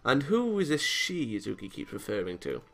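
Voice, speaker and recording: A man's voice: medium pitch, wildly swooping pitch, normal speed, gravelly, fairly bright tone. A man hosting a let's play, reading a visual novel aloud with casual comments and often stumbling over lines. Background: game music.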